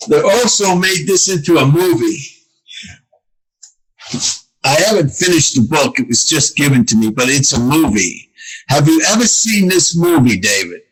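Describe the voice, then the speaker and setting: A man guesting on a podcast as a comedian, talking in a rambling, halting way and sounding eager about what he likes. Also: a little high, sing-song, husky, rough recording. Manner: rambling, halting with fillers, enthusiastic. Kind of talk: casual podcast chat.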